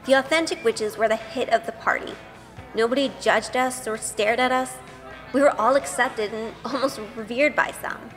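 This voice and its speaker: A woman's voice: fairly high pitch, moderate pace, faint music behind, lightly acted. Woman as an earnest young witch, reverberant voice. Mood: reflective. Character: earnest, sweet young good-girl witch, narrating heroine. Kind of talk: audio drama narration and acting.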